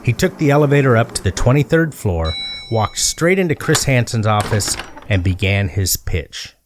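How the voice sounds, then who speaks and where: A man delivering scripted comedic storytelling as narrator, in a deliberate, mock-earnest way with emphatic phrasing. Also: mid-range voice, very animated, noisy background.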